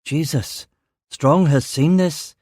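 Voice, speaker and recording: A man's voice: a little high, takes it slowly, darkish tone, no echo, studio quality. A man voicing a rattled police detective in an audiobook, defensive and deferential as he answers his superiors. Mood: alarmed. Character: male detective narrator speaking, rattled, sweary, deferential to superiors. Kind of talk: audiobook character dialogue.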